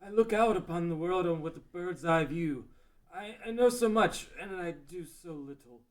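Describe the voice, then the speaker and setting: A man playing a feeble old sage full of regret in an amateur fantasy table read, speaking in a halting, grand way. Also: sits high, lightly acted, low-quality recording. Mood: regretful. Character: feeble old male sage, weary and self-reproaching.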